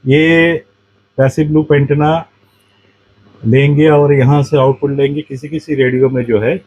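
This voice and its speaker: A man giving a casual hands-on demonstration, speaking haltingly and restating his phrases. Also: fairly high pitch, steady tone, darkish tone, breathy edge. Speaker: host demonstrating a radio antenna kit. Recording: dull, muffled lo-fi sound.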